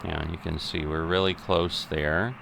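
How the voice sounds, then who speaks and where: A middle-aged man giving unscripted simulation game commentary, thinking aloud in a methodical, halting way. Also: deep voice, steady tone, gravelly, fairly bright tone, slight background noise. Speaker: gaming video host.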